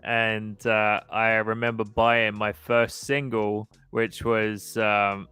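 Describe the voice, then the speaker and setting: Easygoing man in his thirties, smooth voice. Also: lowish voice, flat delivery, slow, sharp tone, dry recording. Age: 30s. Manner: easygoing, laughs often, reads viewer comments aloud. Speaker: podcast host. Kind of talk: casual livestream chat.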